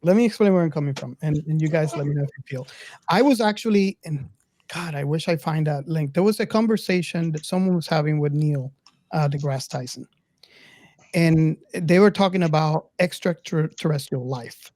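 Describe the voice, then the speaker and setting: A man's voice: on the high side, moderate pace, darkish tone, no room sound. A man in a casual film discussion, earnest and insistent, repeating his phrases to make a point. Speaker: podcast co-host.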